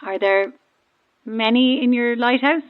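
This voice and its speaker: A woman's voice: a little high, measured pace. A woman playing a breezy, self-deprecating boat passenger with an Irish accent in a horror audio drama, heard through a radio filter. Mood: breezy, chatty. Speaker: caller from a stranded boat. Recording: thin, narrow-band marine radio call.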